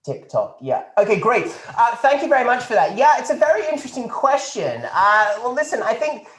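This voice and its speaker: A man's voice: very high voice, very animated, a little fast, a bit bright, reverberant, exaggerated voice. A man playing a pompous, flippant posh government minister who rambles and stumbles over a video call. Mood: smug. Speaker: politician taking questions. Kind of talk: satirical press conference sketch.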